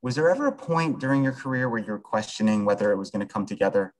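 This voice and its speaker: An American man asks questions as a sports reporter at a press conference. His voice is deep. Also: lively intonation, fast.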